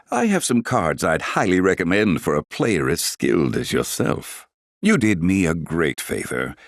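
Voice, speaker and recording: Deep-voiced, suave, scheming casino owner. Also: male voice, gravelly, no room sound, studio quality, heavily acted. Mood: salesmanlike. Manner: suave, insinuating, formally polite. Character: smooth-talking, calculating casino owner and card dealer. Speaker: game character voice actor. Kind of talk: scripted video game dialogue.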